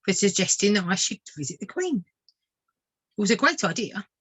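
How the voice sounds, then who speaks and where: A woman's voice: medium pitch, very animated, moderate pace, a bit bright, breathy edge. A woman voicing an eager, naive male bird in a children's storybook, cheerful, polite and innocent. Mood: innocent, chatty.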